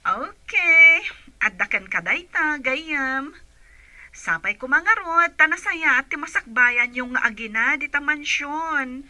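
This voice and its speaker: A helpful female friend acted by a woman in an Ilocano radio drama. The voice is high and piercingly bright, taking its time as it coaxes and encourages, with an Ilocano accent and dry studio sound. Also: animated, slow pace, no echo, full character voice. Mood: encouraging. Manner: friendly, coaxing.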